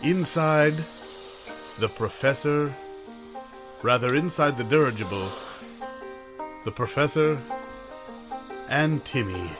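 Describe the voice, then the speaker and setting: Slow, portentous man narrator. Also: medium pitch. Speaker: sketch narrator. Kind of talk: mock-serial scripted-style narration. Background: music.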